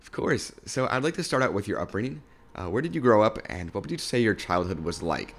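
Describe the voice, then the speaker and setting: A man's voice: fairly low pitch, lively intonation, fairly quick, slightly rough. A man hosting a podcast, reading a scripted intro, then asking questions with short encouraging replies. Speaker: podcast host. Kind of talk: podcast interview.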